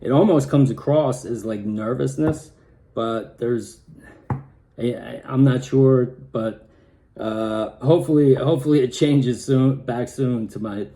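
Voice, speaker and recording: A man talks at an unhurried, slow pace in a casual personal vlog, and his voice sounds slightly raspy and hoarse. His tone is mellow and his delivery is halting, with frequent restarts. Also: mid-range voice. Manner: halting, many restarts and fillers. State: hoarse from pollen. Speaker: vlogger.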